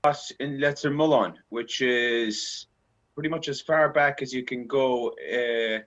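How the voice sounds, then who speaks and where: An actor talking casually in an interview, relaxed and self-deprecating. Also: male voice, mid-range voice, unhurried, lo-fi sound.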